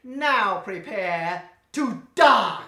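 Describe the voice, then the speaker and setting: A woman plays a sly male villain professor in a comedy sketch, purring mock-polite lines that swing widely in pitch. The voice is bright and edged, heard from a little way off in an echoing room. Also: lively intonation, drawn-out words, far from the mic, heavily acted. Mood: villainous. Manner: suave, theatrical, mock-polite with sudden menace. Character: sly male villain professor who runs a wax museum. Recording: roomy echo, voice set back from the mic.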